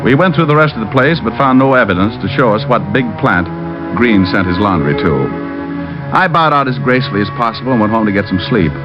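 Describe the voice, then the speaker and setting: A man's voice: fairly low pitch, expressive, a little fast, close to an everyday voice. An American actor playing a witty, wry private detective in an old-time radio drama, narrating his own case. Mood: wry narration. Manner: wry, sardonic. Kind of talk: 1940s-50s radio detective acting. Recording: dull old radio recording, background music.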